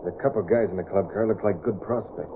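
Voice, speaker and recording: An actor plays a cocky crooked railroad cop in vintage radio drama. He talks fast and low in casual slang, on a narrow, noisy old recording. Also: close to an everyday voice. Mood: easygoing, reassuring. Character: breezy, crooked male railroad detective. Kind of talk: 1930s-40s radio drama acting.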